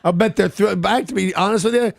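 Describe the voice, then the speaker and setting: A wisecracking male radio co-host with a high voice full of gravelly fry. He is recorded dry and close on a studio mic and lands sarcastic jokes in loose morning-show chatter. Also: fast, no echo. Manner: joking, wisecracking, sarcastic asides. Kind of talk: casual on-air banter. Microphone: studio microphone.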